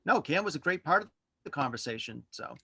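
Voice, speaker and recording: A man chatting casually as a podcast guest, halting and rambling as he corrects himself. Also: fairly high pitch, sing-song, creaky, a loud crowd. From Worcester, United States. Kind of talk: casual interview chat. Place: virtual studio online call.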